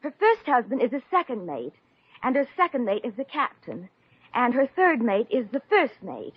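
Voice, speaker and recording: Mousy young amateur writer, husky yet highish, coy and hesitant, earnest, vintage radio comedy. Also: female voice, sing-song, normal speed, no echo. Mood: coy, secretive. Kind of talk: 1950s radio comedy acting. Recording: dull old-time radio recording.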